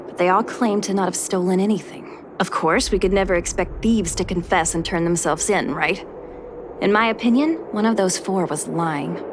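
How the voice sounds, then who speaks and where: An actress voicing an earnest, loyal female miner in a video game, calm and explanatory. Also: on the low side, noisy background, lightly acted. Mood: thoughtful. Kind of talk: measured video game dialogue.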